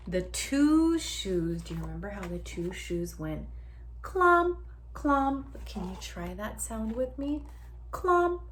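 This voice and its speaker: A woman's voice: mid-range voice, a little hiss or hum. A woman reading a picture book aloud to children, with dramatic emphasis and an interactive, inviting manner. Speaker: children's read-aloud storyteller.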